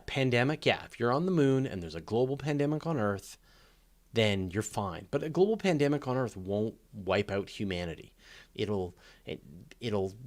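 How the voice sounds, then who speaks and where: A man with a fairly low voice hosts a casual live Q&A. He speaks off the cuff, working through his thoughts aloud. His delivery is informal and opinionated, with emphasis on his points. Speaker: host of a live space and astronomy Q&A.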